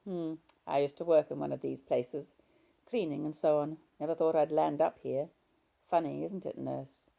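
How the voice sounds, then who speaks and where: A woman's voice: sits low, fairly even intonation, normal speed, gravelly, mellow, exaggerated voice. Woman doing a blunt, chatty patient. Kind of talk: audiobook character voice.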